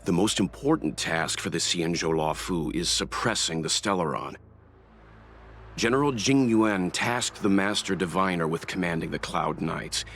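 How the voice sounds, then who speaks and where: A man voicing a stoic, reserved young warrior in an anime game, speaking in a measured, serious manner. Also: low voice, expressive, a bit bright. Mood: grave. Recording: faint ambient noise behind.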